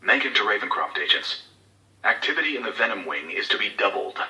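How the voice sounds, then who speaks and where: A man's voice: fairly low pitch, sing-song, brisk, pushed and bright, roomy sound, distant mic, exaggerated voice, low-quality recording. An actor playing a cold, scheming male corporate executive who gives covert orders in formal, clipped, authoritative speech for a game audio log. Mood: businesslike, menacing.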